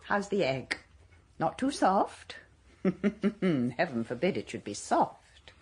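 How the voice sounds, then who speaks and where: An actress as a fussy, sharp-tongued wife, husky and breathy, pitch swooping widely. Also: female voice, big pitch swings, heavily acted. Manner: brisk, tart, deflecting. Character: brisk wife Maureen, tart and fussy. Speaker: radio drama actor.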